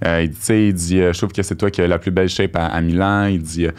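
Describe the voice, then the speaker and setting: A man's voice: low-pitched, quick pace, clear, resonant tone. A young man with a Québécois accent telling a story as a podcast guest, acting out the lines of the people in it, on a studio microphone. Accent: Québécois French. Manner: animated storyteller, acts out quoted dialogue. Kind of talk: casual storytelling. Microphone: studio mic on boom arm.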